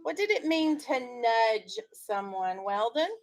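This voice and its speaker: A woman's voice: a little high, animated, slow pace. A woman teaching young schoolchildren in a classroom, leading a reading discussion with instructive questions. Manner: instructive, questioning, checking understanding.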